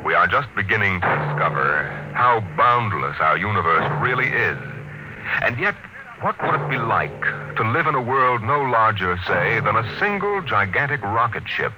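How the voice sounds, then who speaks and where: A man's voice: on the low side, sing-song, normal speed, heavy background noise. A man hosting a 1950s radio drama series with grand, dramatic scripted narration. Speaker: radio show host. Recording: old radio recording with loud music.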